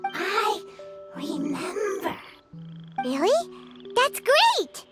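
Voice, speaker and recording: Tiny earnest game fungus, extremely high, haltingly slow, broken phrases. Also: female voice, sing-song, distant mic, full character voice. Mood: hopeful. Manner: halting broken speech in short fragments. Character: small childlike fungus creature, simple and earnest. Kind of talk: video game character acting. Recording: loud game music behind the voice.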